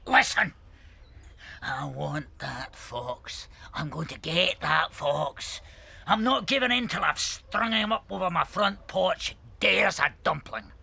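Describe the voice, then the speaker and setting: An actor in a dramatized children's audiobook playing a ruthless, bossy farmer who snaps and insults. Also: male voice, a little high, lively intonation, slow pace, gravelly, sharp tone, slightly rough, heavily acted. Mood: scheming, determined. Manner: domineering, snappish, insulting. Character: ruthless, bossy male farmer obsessed with killing a fox.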